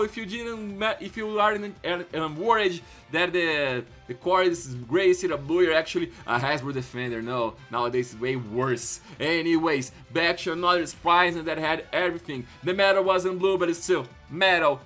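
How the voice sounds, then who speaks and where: A man from Brazil with a Brazilian Portuguese accent, hosting an enthusiastic collector commentary and jumping louder and higher when thrilled. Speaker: YouTube channel host.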